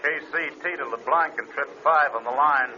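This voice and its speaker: Slangy airfield dispatcher, piercing bright voice, breezy, muffled vintage radio drama. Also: male voice, mid-range voice, moderate pace, a little hiss or hum. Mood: brisk. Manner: clipped, slangy radio procedure. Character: brisk, breezy male airfield radio operator. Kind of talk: 1930s-40s radio drama acting.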